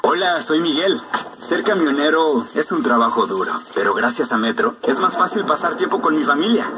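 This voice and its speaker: A man's voice: on the high side, animated, normal speed, far from the mic, background noise, close to an everyday voice. An actor playing a hard-working family man who drives trucks, in a testimonial radio ad. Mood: grateful, upbeat.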